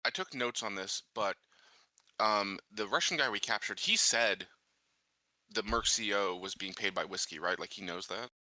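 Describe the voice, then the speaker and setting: A man's voice: lowish voice, normal speed, sharp tone, no echo. A man speaking as a player in a tabletop roleplaying game, in casual conversation.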